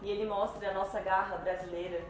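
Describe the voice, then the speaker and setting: A woman's voice: mid-range voice, little pitch movement, racing, a crowd in the background. A woman in her twenties with a Brazilian accent, speaking as a pageant contestant in a live question session. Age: 20s. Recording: very echoey, noisy room.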